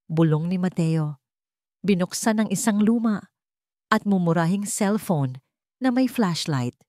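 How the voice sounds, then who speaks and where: A woman's voice: mid-range voice, a little mellow, no echo, clean studio sound. A woman with a Filipino accent narrating a scripted story in a dramatic style.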